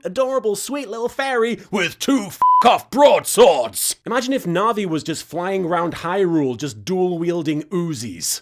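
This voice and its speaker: A man's voice: high-pitched, fairly bright tone. A man narrating a scripted comedic recap in a sarcastic, mock-serious, sweary way. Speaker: host of an anime recap series.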